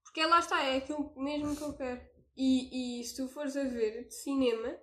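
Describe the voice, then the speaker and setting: Slangy young Portuguese woman, high voice. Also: steady tone, moderate pace, sharp tone, reverberant. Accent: European Portuguese. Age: young adult. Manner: slangy, frank, full of filler words. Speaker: podcast co-host. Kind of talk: casual chat.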